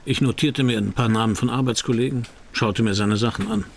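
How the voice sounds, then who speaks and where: An actor as a gravelly, wry private detective. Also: male voice, mid-range voice, brisk, slight background noise, close to an everyday voice. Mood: wry, brooding. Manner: terse, laconic, dry. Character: weary, wry male private detective narrating his case. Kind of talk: noir radio drama narration and dialogue.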